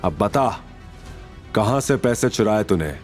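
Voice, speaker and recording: A man's voice: on the low side, expressive, a little slow, darkish tone, faint music behind. Man as a stern, intimidating police officer. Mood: cold threat. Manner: commanding, intimidating, informal address. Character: stern, threatening police officer of forty, commanding, mocking. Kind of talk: audio drama character acting.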